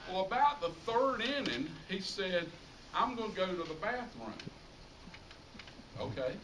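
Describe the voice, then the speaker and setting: A man's voice: high voice, big pitch swings, measured pace, a bit bright. A middle-aged American man giving an informal tribute speech to an audience, speaking emphatically and repeating phrases for effect. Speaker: high school public address announcer giving a tribute speech. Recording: roomy, distant mic, clearly noisy ambience.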